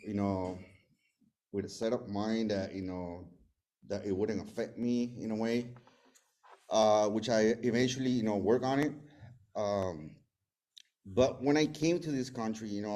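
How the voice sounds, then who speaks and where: Nervous Salvadoran man, hesitant and mellow. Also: fairly low pitch, moderate pace. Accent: Salvadoran Spanish. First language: Spanish. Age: 40s. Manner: hesitant, many fillers and restarts. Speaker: recovery meeting speaker. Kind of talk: personal recovery testimony.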